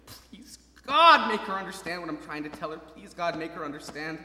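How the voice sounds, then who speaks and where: An actor playing a gravely wounded young soldier in a stage drama, halting, repetitive and pleading, moving between murmurs and cries. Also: male voice, on the high side, big pitch swings, pushed and bright, exaggerated voice. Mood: praying, pleading. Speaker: actor in a stage adaptation of a radio play. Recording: roomy hall, distant mic, faint noise.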